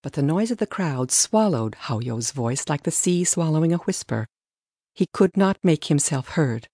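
A woman's voice: low-pitched, animated, normal speed, dark tone, husky, no echo, studio quality. A woman reading scripted story narration as an audiobook narrator.

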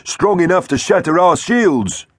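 An excitable dinosaur crewmate from a storybook space adventure, played by a male narrator. The voice sits well above the reader's own and swings widely in pitch, with emphatic, animated delivery. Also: a little slow, husky, no echo, studio quality, heavily acted. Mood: groaning.

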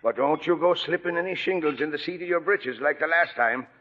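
A man's voice: on the high side, fairly quick, a little hiss or hum, lightly acted. Man playing a folksy old sea captain, muffled vintage radio. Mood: mock-gruff, teasing. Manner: folksy seafaring dialect, mock-gruff and affectionate. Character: gruff, tender old lighthouse keeper sea captain raising a girl. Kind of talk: 1940s radio acting. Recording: dull vintage radio broadcast, long reverb.

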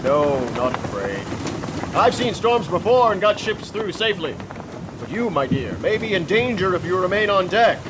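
Very high-pitched, animated sea captain. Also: male voice, normal speed. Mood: steady, kindly. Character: steady, fatherly, seasoned sea captain. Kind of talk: radio drama acting. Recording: loud wind and sea effects behind. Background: wind and waves.

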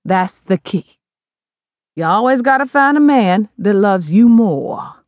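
A woman's voice: mid-range voice, animated, takes it slowly, darkish tone, no echo, pitched above the performer's own voice. A woman voicing a blunt, scolding older mother, brassy and plain-spoken, in an audiobook. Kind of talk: audiobook character voice.

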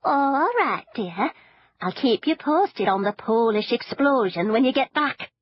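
A bubbly old granny who swoops high and low through every sentence and relishes bad news with fussy, excitable glee, as a woman reader puts her on. Her voice is a little breathy and soft-edged, and it is recorded close, dry and slightly dull, like a studio audiobook. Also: measured pace, no echo. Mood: eager. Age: older. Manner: fussy, sing-song, excitable. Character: cheerful chatty grandmother, fond of disasters.

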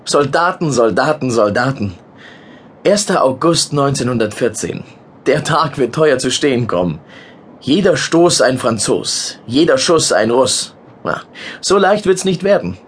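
An actor playing a polite, talkative war correspondent, hesitating, apologizing and slipping into English words in an audio drama. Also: male voice, medium pitch, expressive, measured pace, husky. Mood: skeptical, grave.

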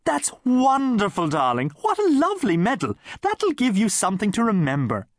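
A man voicing a theatrical, gushing mother, high and affected, for an audiobook. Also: huge pitch swings, normal speed, no room sound, clean studio sound, full character voice.

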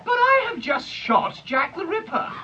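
Nutty victorian detective, high and husky, swoops all over, theatrical spoof radio play. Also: male voice, a little slow, highs cut off, slight background noise, heavily acted. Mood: excitable. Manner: theatrical, excitable, swooping delivery. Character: manic, absent-minded, boastful eccentric Victorian detective. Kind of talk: comic audio theater parody.

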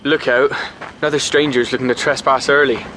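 An actor playing a hot-headed young village lad, rustic and indignant, in a radio drama. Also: male voice, medium pitch, flat delivery, a little fast, bright, forceful tone, a little hiss or hum, natural voice, low-quality recording. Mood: grumbling. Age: young adult.

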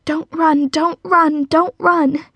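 A woman's voice: extremely high pitch, level tone, takes it slowly, a bit bright, dry recording, voice pushed up, exaggerated voice. A woman playing a brave young girl in a storybook, speaking with bold, emphatic defiance though afraid. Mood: frightened urging.

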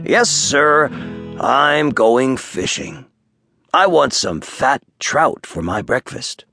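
A man voicing a big, smug bear from a children's story in a slow, deep, grumbly voice. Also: very animated, gravelly, sharp tone, no echo, studio quality, faint music behind, exaggerated voice. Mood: lazy, content. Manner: slow, drawn-out delivery.

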